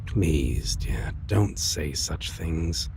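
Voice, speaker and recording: A brooding, tender vampire played by a man in a romantic audio drama, over clearly audible background noise. The voice is extremely slow and full of gravelly fry, with halting, breathy pauses and soft, weary endearments. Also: extremely low pitch, lively intonation, exaggerated voice. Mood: regretful, tender. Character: brooding centuries-weary male vampire, tender former vampire hunter.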